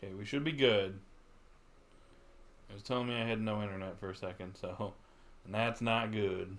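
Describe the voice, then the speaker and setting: A man's voice: fairly low pitch, fairly even intonation, normal speed, a bit bright. A man livestreaming a video game, reacting casually with brief, offhand, laid-back remarks.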